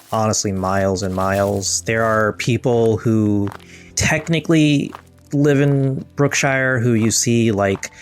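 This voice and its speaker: A man narrating as game master of a tabletop game, describing scenes in an unhurried way. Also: fairly low pitch.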